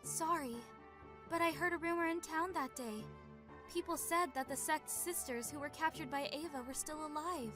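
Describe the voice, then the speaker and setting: A woman's voice: sits high, moderate pace, natural voice. A woman playing an earnest, spirited young heroine with a girlish manner in a radio drama. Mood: defensive. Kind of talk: fan radio drama acting. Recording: loud background music.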